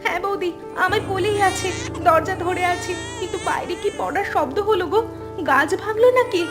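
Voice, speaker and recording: A woman's voice: very high-pitched, brisk, music in the background. A woman playing a young wife and mother in a cartoon melodrama, anxious, hurt and pleading. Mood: frightened. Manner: emotional, pleading.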